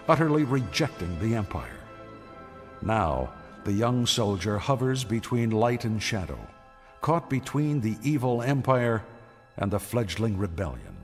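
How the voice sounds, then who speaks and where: A man giving dramatic scripted narration for a space saga, solemn, measured and portentous. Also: on the low side. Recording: music under the voice.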